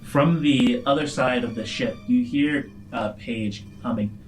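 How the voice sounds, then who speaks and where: A man's voice: mid-range voice, unhurried, music in the background. A man narrating a tabletop role-playing game as the game master.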